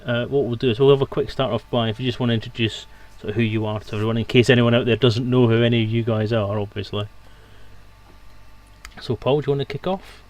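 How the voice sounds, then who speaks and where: A man's voice: mid-range voice, fairly even intonation, fast, darkish tone. A man hosting a livestream interview in a casual, informal, rambling way. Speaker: host and streamer.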